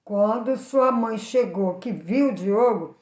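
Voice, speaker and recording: A woman with a Brazilian accent telling a moral story for children in expressive narration. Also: mid-range voice, takes it slowly. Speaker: children's storyteller and channel host. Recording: echoey room, slightly dull sound.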